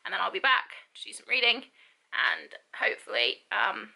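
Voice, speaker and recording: A woman's voice: mid-range voice, big pitch swings, moderate pace, creaky, bright, forceful tone, poor audio. A young woman vlogging casually to camera, rambling with many ums and repeats. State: stuffy, sore throat, lethargic. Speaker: book vlogger. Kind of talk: casual vlog talk to camera.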